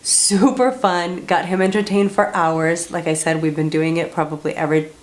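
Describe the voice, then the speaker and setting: Upbeat mom vlogger from colombia, chatty, lots of "like"s, voice a bit on the low side. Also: female voice, steady tone, normal speed. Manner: upbeat and chatty, loose with filler words. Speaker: parenting vlogger. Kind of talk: casual chat to camera.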